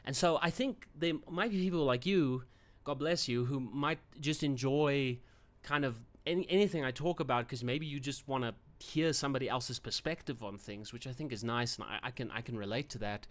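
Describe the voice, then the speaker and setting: A man's voice: medium pitch, moderate pace, few pauses. Chatty South African man, rambling. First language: Afrikaans. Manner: rambling, chatty, frequent fillers and self-corrections. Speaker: channel host. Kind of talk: casual livestream talk.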